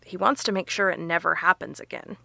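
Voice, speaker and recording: Sharp researcher lady, bright voice, explains stuff with punch, audio drama, really dry sound. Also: fairly low pitch, steady tone, a little fast, gravelly, dry recording, heavily acted. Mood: explaining, intent. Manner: emphatic, explanatory.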